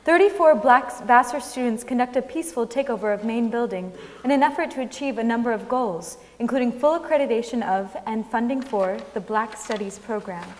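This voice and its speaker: A woman's voice: a little high, brisk, slight background noise. A woman announcing in a formal, scripted style as narrator of a staged reading. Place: large indoor concert hall stage. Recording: reverberant concert hall stage recording.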